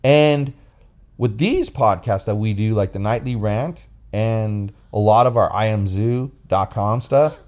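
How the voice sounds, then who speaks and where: Low-voiced man chatting on a podcast. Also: a little slow, darkish tone. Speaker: podcast co-host. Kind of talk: casual unscripted podcast chat. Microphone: recorded into a phone app.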